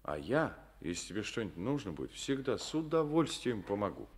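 A man's voice: on the low side, sing-song, fairly quick. An actor playing a coaxing, reassuring male party secretary in a Soviet radio play.

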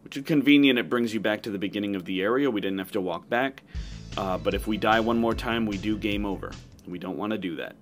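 A man's voice: lowish voice, fast. A man giving casual, matter-of-fact commentary as he plays through a video game. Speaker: gaming video host. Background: game music.

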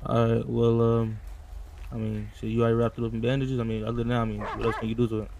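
A man's voice: mid-range voice, little pitch movement, fast, vocal fry, noisy background, lightly acted. A man playing a casual, streetwise police detective in improvised game roleplay, with slangy, conversational delivery. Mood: matter-of-fact. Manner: casual, slangy, hesitant with many asides.

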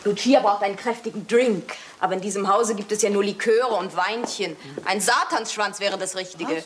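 An actress playing a breezy, irreverent woman with a brisk, teasing manner in a radio play. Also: medium pitch, lightly acted. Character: breezy, irreverent woman who likes strong drinks. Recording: noisy old radio play recording.

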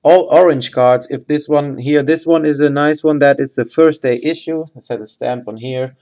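A man giving casual, halting live commentary as he hosts a sports card box break, matter-of-fact in manner. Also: a little high, warm tone. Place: indoors at a tabletop.

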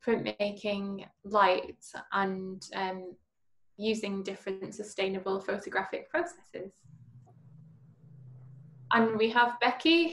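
A woman's voice: medium pitch, fairly bright tone, slight background noise, rough recording. A woman from Manchester, an artist explaining her gallery's exhibitions on a video call, with some fillers. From Manchester, England.

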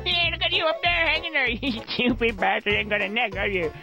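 Dim bully sidekick, a talking paintbrush, sharp bright tone, repetitive jeering, cartoon game. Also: male voice, extremely high pitch, a little fast, soft background music, full character voice. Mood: mocking. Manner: repetitive, goading, echoing his partner.

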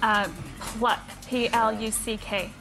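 Female game show contestant, young, American accent, slightly high voice, studio music behind. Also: fairly even intonation, slow, rough recording. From Dallas, United States. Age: young adult.

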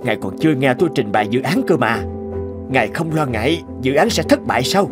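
A man's voice: mid-range voice, lively intonation, fairly quick, natural voice. A man playing an eager, polite and confident inventor in a cartoon dub. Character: gleeful, driven male inventor, confident and polite. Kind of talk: Vietnamese cartoon dub acting. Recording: music under the dialogue.

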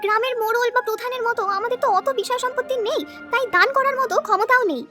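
A woman playing a blunt, suspicious village farmer's wife in a cartoon, speaking briskly and matter-of-factly. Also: very high-pitched. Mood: firm, businesslike. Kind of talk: children's cartoon voice acting. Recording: loud music behind the voice.

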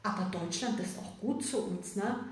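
A woman's voice: medium pitch, normal speed. A woman, giving a rambling, chatty tarot reading with many fillers and tag questions. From Germany, of Sicilian parents. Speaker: tarot reader. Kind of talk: casual spoken tarot reading. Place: indoors, room with a card table. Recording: echoey room, voice from a distance.

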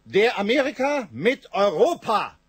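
A determined young engineer in a radio play. His voice is high for a man and recorded dry. He sets things out in a measured, earnest, declamatory way. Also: very animated, slightly rough, dry recording, exaggerated voice. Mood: earnest, resolute. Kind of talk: German radio drama acting, 1980s.

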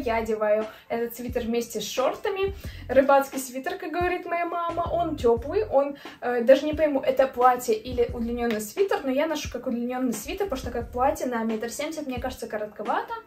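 A woman's voice: high voice, a little mellow. A woman in her twenties, a fashion vlogger, chatting casually and upbeat about outfits, recorded indoors by a camera at medium distance. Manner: chatty, upbeat, frequent fillers. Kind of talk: casual outfit try-on chat. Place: indoor room with clothing racks. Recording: roomy echo with faint music.

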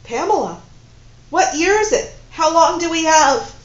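Desperate man, halting and pleading, voiced by a woman narrator at her own high pitch, muffled roomy take. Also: big pitch swings. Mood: alarmed. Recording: dull, roomy recording with faint hum.

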